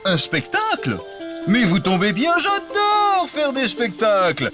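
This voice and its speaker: A boastful cartoon ibex acrobat, high-voiced and theatrical. His pitch leaps wildly as he shows off, with drawn-out, unhurried phrasing. Loud music plays behind. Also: huge pitch swings. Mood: showy. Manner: theatrical, showy, self-admiring. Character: boastful, show-off acrobat male mountain ibex. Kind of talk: preschool cartoon character acting.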